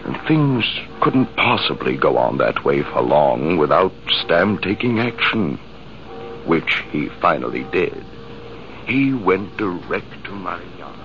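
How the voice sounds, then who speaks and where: An actor playing a male army major who tells the story in a reflective, measured way, in 1950s radio drama style. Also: low-pitched, sing-song, vocal fry, heavily acted. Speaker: narrator. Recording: hissy, muffled old radio broadcast.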